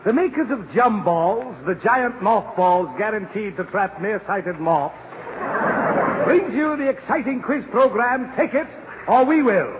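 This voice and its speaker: An actor playing a brisk, excitable radio announcer in a 1940s comedy parody. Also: male voice, high-pitched, a crowd in the background. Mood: brisk, grandiose. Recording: tinny 1940s radio recording with hiss.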